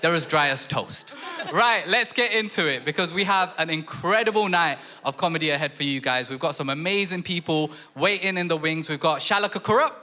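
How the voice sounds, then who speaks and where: A man's voice: fairly high pitch, brisk. A man hosting a live comedy show on stage, trading teasing banter with his co-host. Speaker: radio breakfast show host. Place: theatre stage with a live audience. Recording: loud crowd and noise.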